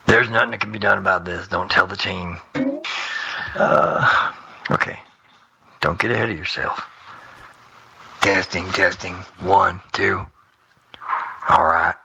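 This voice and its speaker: Casting: male voice actor, lowish and gravelly, forceful edge, loose rehearsal takes. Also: fairly even intonation, takes it slowly, long pauses, a little hiss or hum. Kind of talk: recording takes with casual asides.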